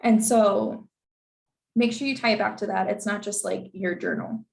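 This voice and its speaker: A woman's voice: medium pitch, steady tone, normal speed, gravelly, echoey room. A young woman who is losing her voice, presenting an informal info session in a chatty way with many fillers. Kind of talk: informal advice talk with slides.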